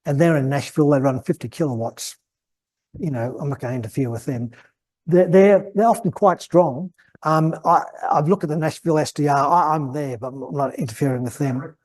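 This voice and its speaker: An Australian man, probably over fifty, giving an informal technical talk at a club meeting, halting and rambling as he repeats words mid-thought. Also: a little high, a little mellow. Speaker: guest speaker at an amateur radio club meeting.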